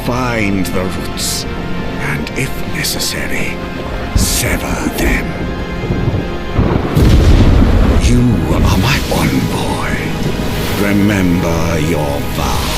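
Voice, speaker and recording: An actor playing a solemn, commanding emperor in a game cinematic, speaking in a stately, portentous manner. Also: male voice, deep voice, exaggerated voice. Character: solemn, commanding male emperor dispatching his envoy. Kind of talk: dramatic game cinematic monologue. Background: cinematic music.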